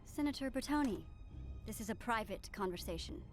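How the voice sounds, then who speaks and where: A woman's voice: a little high, animated, fairly quick, faint music behind, natural voice. A woman playing a principled young senator in a cartoon, formal and assertive. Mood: firm.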